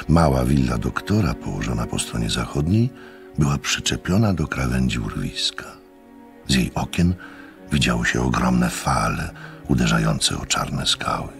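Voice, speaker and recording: A reflective gentleman storyteller in a radio drama. His voice is extremely deep and gravelly, with lively swings in pitch. He speaks in measured, courteous, literary phrases. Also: exaggerated voice. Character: courteous first-person gentleman narrator, reflective and observant. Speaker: narrator and visitor in a radio play.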